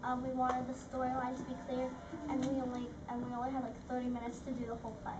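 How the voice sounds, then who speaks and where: A drama camp student answering questions after a show, hesitant and filling pauses. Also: female voice, high-pitched, completely flat delivery, roomy sound, far from the mic, dull audio, noisy background. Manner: hesitant, says um a lot. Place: school hall with audience.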